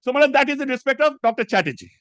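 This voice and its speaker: A man's voice: very high voice, big pitch swings, racing, fairly bright tone, no room sound. A man speaking English with a Bengali accent, arguing a case as an advocate in a courtroom, emphatic and constantly addressing the bench as "my lord". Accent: Indian English, Bengali. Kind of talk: courtroom argument.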